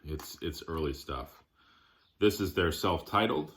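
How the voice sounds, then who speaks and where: A man giving a casual show-and-tell of his collection, plainspoken, listing items in short, halting phrases. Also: low-pitched, fairly bright tone.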